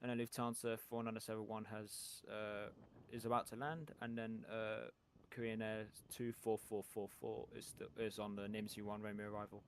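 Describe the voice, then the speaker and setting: A man working as an air traffic controller in a flight simulation game, speaking radio phraseology in a hesitant, halting way. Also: lowish voice, little pitch movement. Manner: hesitant, halting delivery with many pauses.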